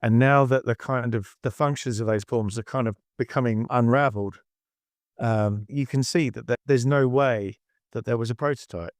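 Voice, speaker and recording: A man's voice: mid-range voice, moderate pace, no room sound, studio quality. A man who speaks firmly and argumentatively in a discussion. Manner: firm and argumentative.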